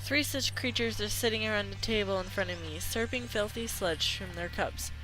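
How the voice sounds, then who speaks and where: A woman hosting a gaming video, reading a visual novel aloud haltingly, stumbling and correcting herself. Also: medium pitch, bright, forceful tone, faint music behind, rough recording.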